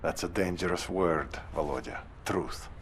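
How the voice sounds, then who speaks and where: An actor playing a smooth, guarded Soviet political interviewer in a video game. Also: male voice, low-pitched, unhurried, slight background noise. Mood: calm, guarded. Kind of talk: dramatic video game acting.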